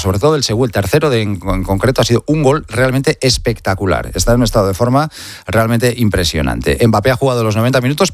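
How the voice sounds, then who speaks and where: Man, Spain Spanish accent, sports radio host, fast delivery, emphatic, conversational, dry close sound. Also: medium pitch, dry recording. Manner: emphatic, rhetorical questions and asides. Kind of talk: conversational sports news presenting.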